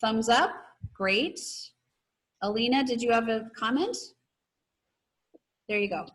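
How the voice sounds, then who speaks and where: A woman's voice: on the high side, takes it slowly, a bit bright. A woman teacher with an encouraging way of talking, speaking to her pupils as she would in a read-aloud class. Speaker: teacher reading aloud and leading class discussion. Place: online video class. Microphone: video call.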